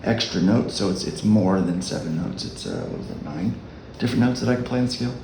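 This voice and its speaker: A man with a low voice giving a relaxed, halting dulcimer lesson as a worship leader. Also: vocal fry, reverberant, noisy background. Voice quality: low speaking voice. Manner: unhurried, halting explanation. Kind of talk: casual music tutorial.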